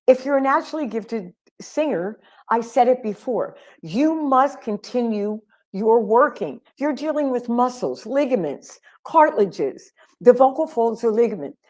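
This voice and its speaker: Animated woman vocal coach, high voice. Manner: animated, emphatic, calls listeners honey. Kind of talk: live stream talk.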